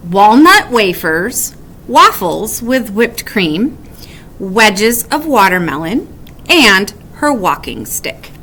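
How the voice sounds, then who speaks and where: A woman's voice: mid-range voice, pushed and bright, a little hiss or hum. A woman reading a children's picture book aloud as a storyteller, in bedtime-story narration. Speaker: storybook reader.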